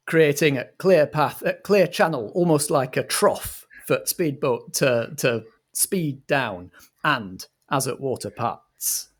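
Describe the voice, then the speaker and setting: Slow, measured male game master. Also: mid-range voice. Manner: measured, deliberate storytelling. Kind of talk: scripted-style narration of a roleplaying game.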